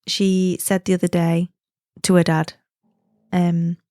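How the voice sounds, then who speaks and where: A woman's voice: on the low side, little pitch movement, slow, mellow, no echo, studio quality. A woman from the UK hosting her own podcast, chatting in a friendly, easygoing way and laughing easily. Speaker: podcast host. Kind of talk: casual chatty podcast monologue.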